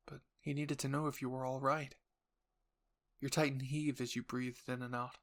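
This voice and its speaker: A man's voice: mid-range voice, flat delivery, normal speed, a bit bright. A man reading dramatic scripted narration for a roleplay story, addressed to the listener in the second person.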